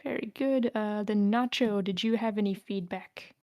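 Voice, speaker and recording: A woman's voice: medium pitch, moderate pace, a little mellow, smooth, dry recording. Woman moderator with dry, close sound. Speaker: moderator of a table read session. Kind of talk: casual meeting facilitation.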